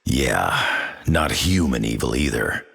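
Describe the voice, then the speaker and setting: An actor playing a gruff, blunt male mutant brawler with a gravelly, growling voice and a terse, world-weary manner. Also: a bass voice, lively intonation, takes it slowly, fairly bright tone, no echo, clean studio sound, exaggerated voice.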